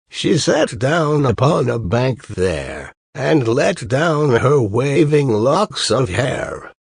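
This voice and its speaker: A man reading a fairy tale as a storyteller, in a measured, deliberate, old-fashioned storybook delivery. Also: mid-range voice, creaky, breathy edge, no room sound, studio quality. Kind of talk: slow scripted audiobook narration of a fairy tale.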